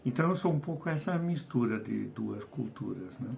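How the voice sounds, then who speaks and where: Older Portuguese-accented man, gravelly teaching voice. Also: medium pitch, expressive, darkish tone, a little hiss or hum. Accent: Portuguese, softened by decades in Brazil. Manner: conversational, frequent tag questions, slows to stress key phrases. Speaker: writer and educator. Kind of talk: video lesson on short fiction.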